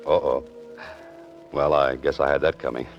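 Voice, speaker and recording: A man's voice: a bass voice, flat delivery, normal speed, vocal fry. An American man playing a wry, easygoing writer-adventurer in a 1940s radio detective drama, with laconic, hesitant asides. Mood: wry, reluctant. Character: wry, easygoing male writer-adventurer narrating his own case. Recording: dull old radio recording with music.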